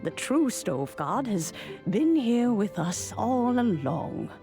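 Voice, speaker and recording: An actress voicing a dignified, solemn ancient immortal woman who tells old tales in a stately, measured game-dialogue manner. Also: a little high, very animated, dark tone, exaggerated voice. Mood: fond, reverent. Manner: stately, measured, storytelling delivery. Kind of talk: scripted video game storytelling. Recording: music under the voice. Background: background music.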